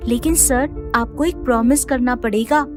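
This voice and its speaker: A woman's voice: a little high, moderate pace, over music, close to an everyday voice. A woman playing a clever, polite and guarded little boy in a Hindi audio drama. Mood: earnest, insistent.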